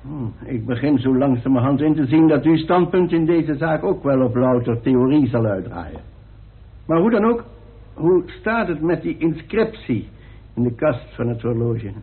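A man's voice: medium pitch, animated, lightly acted. Tinny radio recording of a formal coroner, acted by a man. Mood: dry, probing. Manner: formal, measured, authoritative. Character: formal, dry-witted coroner presiding over an inquest. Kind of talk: radio drama acting. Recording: tinny band-limited old radio recording.

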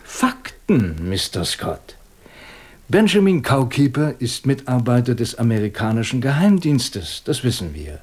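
A man's voice: medium pitch, big pitch swings, measured pace, darkish tone, breathy edge. A man acting a shrewd, methodical British police inspector in a German radio crime drama, speaking standard German in a probing, ironically polite way. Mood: methodical, confident. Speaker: actor playing a police inspector.

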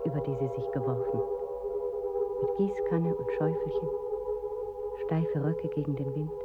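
A performer narrating as a somber storyteller in a poetic radio play, measured and at times hushed. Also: medium pitch, close to an everyday voice. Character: somber storyteller of village widows at graves. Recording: dull 1980s radio recording. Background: music.

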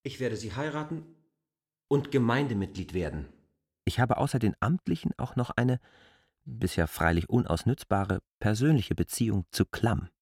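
An actor playing a proud, defensive land surveyor in a German literary radio drama, arguing deliberately in formal phrasing. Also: male voice, mid-range voice, lively intonation, a little mellow, husky, no room sound, clean studio sound. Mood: defiant.

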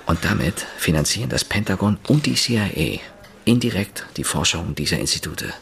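A man's voice: sits low, sing-song, normal speed, creaky, fairly bright tone, full character voice. An actor playing a male journalist in a radio play, talking urgently and confidingly about a secret. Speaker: journalist character.

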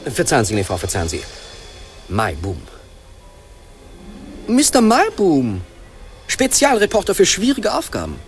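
An actor playing a brash, excitable special reporter in a 1940s radio play, talking in clipped phrases with muttered asides as if reporting live. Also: male voice, a little high, unhurried, long pauses, soft background music, full character voice. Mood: flustered, eager. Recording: 1940s radio play recording, sound effects behind.

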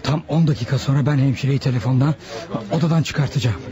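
An actor in a radio drama playing a brisk, decisive male ringleader giving instructions. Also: medium pitch, dull audio, natural voice. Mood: commanding. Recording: radio drama with clear crowd noise.

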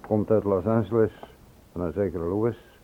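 An actor playing a polite, brisk police sergeant in a radio drama, on a tinny, hissy old recording. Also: male voice, fairly low pitch, fairly even intonation, natural voice.

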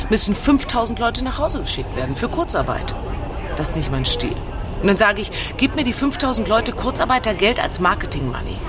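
Combative, animated sales executive. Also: female voice, fairly high pitch. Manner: blunt, emphatic, English business jargon. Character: combative, outspoken car sales executive abroad, scornful of cost-cutters. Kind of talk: documentary audio drama monologue. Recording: noisy urban ambience with vehicles.

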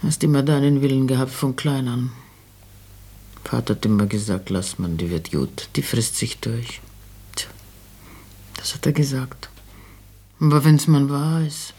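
A woman's voice: very deep voice, expressive, unhurried, long pauses, warm tone, breathy edge, exaggerated voice. An actress playing a fretful, doubting older landlady and mother in a German radio play, speaking in a homely, colloquial way. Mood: resigned, grumbling.

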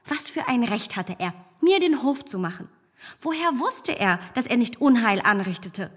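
A self-assured young gentlewoman of a period radio play, quick to take offence. Her voice sits fairly high and rings in a long reverberant space. She speaks in eloquent, emphatic, formal sentences. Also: big pitch swings. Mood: indignant. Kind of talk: radio drama acting of a literary classic.

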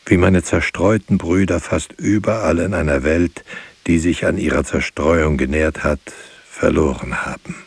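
Grieving, solitary writer for radio drama: low, husky, solemn and incantatory. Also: male voice, no room sound, heavily acted. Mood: solemn, mournful. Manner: solemn, measured, incantatory repetition. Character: solitary, grieving writer musing on words and loss. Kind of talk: poetic literary radio drama monologue.

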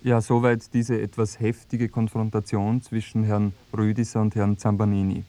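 An actor playing a sober male radio presenter, moderating a mock documentary in measured, formal, carefully built sentences. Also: fairly low pitch, level tone, dark tone, lightly acted.

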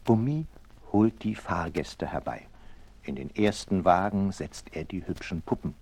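A man narrating a scripted children's story in a measured, expressive way. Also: sits low, husky.

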